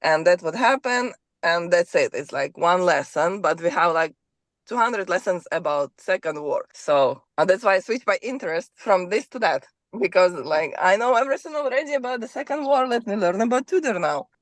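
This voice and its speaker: A woman from Ukraine with a Ukrainian accent, a guest on an interview, speaking halting English as she searches for words. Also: on the low side, animated, no echo. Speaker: guest, history content creator.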